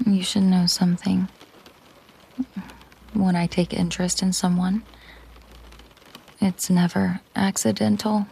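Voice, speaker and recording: Casting: actress as possessive mafia boss girlfriend for intimate audio roleplay; dark, unhurried, hushed voice that drops into whispers, controlled and coolly tender. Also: fairly low pitch, flat delivery, full character voice. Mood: cool, probing. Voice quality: soft, breathy, often slipping into whisper. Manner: slow, deliberate, coolly possessive. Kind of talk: intimate roleplay audio drama, soft slow delivery.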